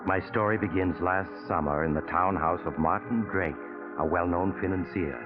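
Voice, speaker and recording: An actor playing a genial yet sinister storyteller, narrating an old radio mystery in a suave, deliberate way. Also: male voice, low-pitched, close to an everyday voice. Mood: genially ominous. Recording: tinny old radio recording, loud music behind.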